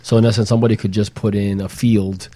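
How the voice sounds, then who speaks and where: Fairly deep-voiced man co-hosting. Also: little pitch movement, fast, warm tone. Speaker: co-host. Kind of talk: casual interview chat.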